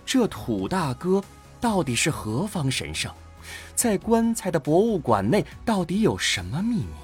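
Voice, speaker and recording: A man's voice: a little high, wildly swooping pitch, a little slow, soft background music. A man narrating a science fiction radio drama in a scripted, dramatic storytelling style.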